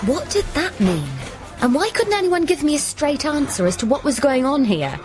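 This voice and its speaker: A woman's voice: high voice, very animated, normal speed, darkish tone, soft background music. An actress playing a puzzled, wry woman who narrates and speaks in a surreal radio drama.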